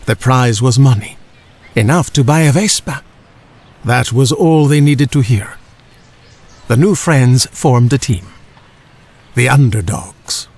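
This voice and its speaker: A man's voice: medium pitch, takes it slowly, pauses often, darkish tone. A man reading a children's storybook as a scripted audiobook narrator.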